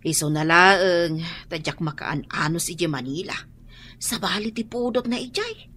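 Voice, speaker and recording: A woman's voice: lowish voice, sing-song, moderate pace, a bit bright, husky, full character voice. A woman playing a spirited older woman devoted to family in a radio drama, with an Ilocano accent. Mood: concerned, earnest.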